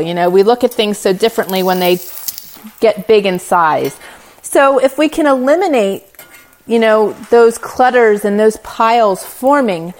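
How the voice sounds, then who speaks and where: A middle-aged woman speaking as a podcast host, giving an informal motivational talk in an earnest, encouraging, conversational way. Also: fairly high pitch.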